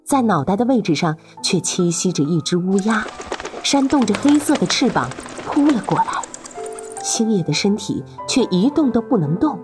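A woman's voice: medium pitch, big pitch swings, moderate pace, music in the background. A woman narrating a serialized fantasy audio drama as its host, in a dramatic scripted storytelling style.

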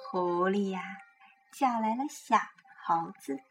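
A woman's voice: mid-range voice, takes it slowly. A woman hosting a children's program, telling a picture-book bedtime story expressively and coaxing young listeners along. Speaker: children's storytelling show host. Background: music.

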